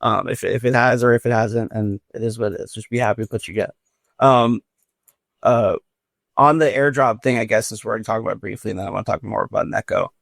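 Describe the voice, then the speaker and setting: Gravelly-voiced laid-back male podcast host. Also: on the low side, fast talker, fairly bright tone, no echo. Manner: laid-back, slangy questions. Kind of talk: casual podcast interview.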